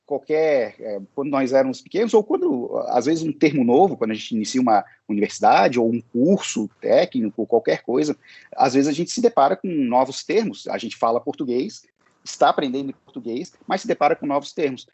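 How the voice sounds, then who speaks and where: A man's voice: mid-range voice, lively intonation, fairly quick, a little mellow, breathy edge, no room sound. A man teaching English, answering interview questions in a hesitant way, with many fillers and restarts.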